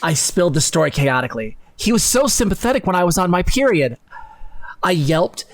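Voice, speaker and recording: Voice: medium pitch, very animated, brisk. A man doing a mock-dramatic reading on a live stream, theatrical and sarcastic, breaking off for casual, sweary asides. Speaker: co-host reading text aloud on a live stream. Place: indoor room at a computer, live stream.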